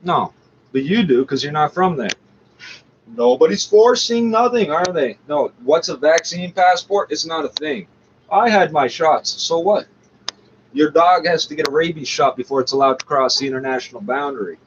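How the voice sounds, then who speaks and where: A man's voice: fairly high pitch, lively intonation, normal speed. A man ranting off the cuff in a combative, scornful, profane way as he comments on a broadcast. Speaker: commentator reacting to a radio show. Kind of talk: off-the-cuff rant over a played broadcast. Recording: muffled, faintly noisy lo-fi recording.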